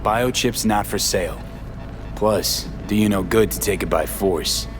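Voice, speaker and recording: A man's voice: on the low side, a little slow, close to an everyday voice. An actor playing a tough, cynical male street mercenary with an American accent and terse, wary delivery in a video game. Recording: music behind the voice.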